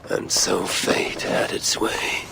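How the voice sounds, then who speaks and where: A man playing a brooding Viking warrior in a video game, grave and measured, with a gravelly voice that turns breathy when quiet. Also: background noise, close to an everyday voice. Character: brooding male Viking warrior haunted by visions. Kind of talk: dramatic video game cutscene acting.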